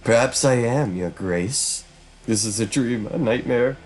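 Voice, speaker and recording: A man's voice: mid-range voice, measured pace, a little mellow, natural voice. A man voicing a vengeful witch woman from hell, speaking in a theatrical, formal and taunting way. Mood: mocking.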